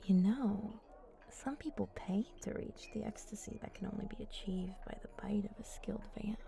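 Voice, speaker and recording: A woman voicing a flirty, teasing female vampire in an ASMR roleplay, with a breathy voice that often drops to a whisper. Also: medium pitch, warm tone. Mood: seductive teasing. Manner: slow, teasing, coaxing.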